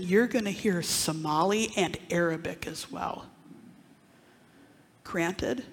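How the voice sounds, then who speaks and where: A preacher from northern Wisconsin giving a Pentecost-style sermon, speaking with dramatic pauses and dropping into hushed asides. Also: mid-range voice. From northern Wisconsin, USA. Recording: long reverb of a large hall.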